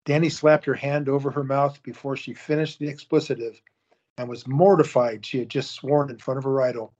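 A man giving steady scripted narration for an audio drama. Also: medium pitch, brisk. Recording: dull lo-fi recording.

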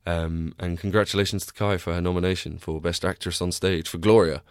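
Deep-voiced congested man, rambling. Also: fairly even intonation, a little fast, clean tone, no echo, studio quality. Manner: rambling, chatty, many restarts. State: congested, tired. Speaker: podcast host. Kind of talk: casual solo podcast chat. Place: small cramped home recording space.

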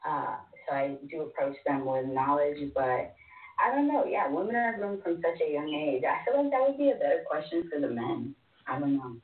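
A woman's voice: sits low, sing-song, normal speed. A woman, born in North Sudan, calling a talk show and speaking casually, thoughtfully and hesitantly. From born in North Sudan, moved to the States at six. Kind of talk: casual, reflective conversation. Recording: tinny, roomy phone line, distant.

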